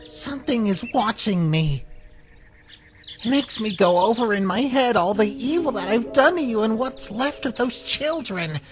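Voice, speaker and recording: Music sits behind an actor voicing a small talking flower from a comic dub. It speaks slowly and haltingly, weary and brooding, like someone confessing guilt. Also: mid-range voice, expressive, natural voice. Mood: haunted. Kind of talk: scripted comic dub acting.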